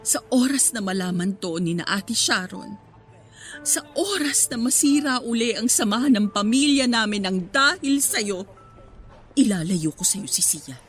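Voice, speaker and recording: Protective single mom, high voice, Filipino accent, defensive, emotional radio drama, soft music. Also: female voice, expressive, measured pace. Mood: stern warning. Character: guarded, firm single mother protecting her secret. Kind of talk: radio melodrama acting.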